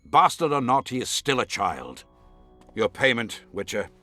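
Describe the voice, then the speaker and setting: An actor playing a haughty, scheming nobleman in a video game, speaking in a formal, imperious and measured manner. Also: mid-range voice, big pitch swings, pushed and bright, faint music behind, exaggerated voice. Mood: proud, confiding.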